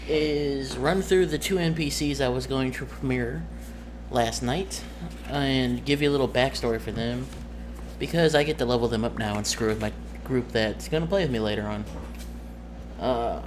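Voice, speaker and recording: Rambling man, noisy amateur recording. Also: medium pitch. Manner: rambling, hesitant, searching for words. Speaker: tabletop game master. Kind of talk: casual off-the-cuff vlog talk.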